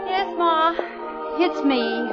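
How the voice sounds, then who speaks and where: A dull old radio recording with loud music around the voice. a dreamy, restless young farm daughter, high and very unhurried, with wistful lyrical delivery. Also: female voice, animated, exaggerated voice. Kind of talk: 1950s radio musical, lyrical and operatic singing. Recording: muffled old radio broadcast, loud orchestra.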